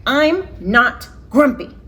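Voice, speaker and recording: A woman's voice: high-pitched, very animated, slow pace, fairly bright tone, voice pushed up, exaggerated voice. A woman voicing a grumpy, indignant male monkey from a storybook, emphatic and protesting.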